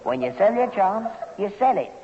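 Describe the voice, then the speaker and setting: A man's voice: high-pitched, big pitch swings, moderate pace, dark tone. An actor playing a grasping, stubborn old working-class father with a London accent, curt and grumbling, in an old British radio sitcom. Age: older. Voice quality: creaky old man's voice. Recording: muffled old radio recording, hiss, long reverb.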